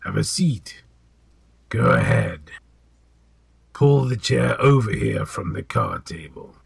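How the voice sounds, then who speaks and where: An actor playing an ancient, exacting and sinister old potion seller in an audio drama, gravelly and husky, speaking formally and deliberately. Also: male voice, lowish voice, very animated, dry recording, clean studio sound, exaggerated voice. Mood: cool, cryptic.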